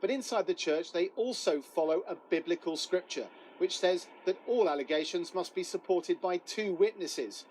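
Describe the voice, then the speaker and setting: A man's voice: high voice, moderate pace, few pauses, a little mellow, slight background noise, poor audio. A man narrating a scripted television news report as a correspondent.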